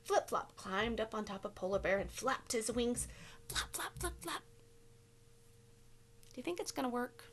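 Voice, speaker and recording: A woman's voice: fairly high pitch, lively intonation, normal speed, long pauses, fairly bright tone, a little hiss or hum. A woman teacher reading a picture book aloud to children, expressively and with simple questions for the listeners. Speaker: elementary school teacher.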